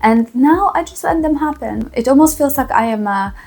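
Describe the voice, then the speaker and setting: A woman with a Polish accent giving a reflective personal testimonial to camera, speaking earnestly with thoughtful, halting pauses. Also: high-pitched, lively intonation, a little mellow. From small town in Poland. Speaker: vlogger.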